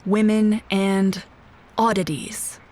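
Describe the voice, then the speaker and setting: An actress playing a guarded, haunted police officer in a scripted audio drama, speaking in terse, brooding, deliberate phrases. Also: female voice, mid-range voice, darkish tone. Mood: bitter, confessional. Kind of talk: scripted audio drama acting with first-person narration. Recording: voice over prominent music bed. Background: dramatic music.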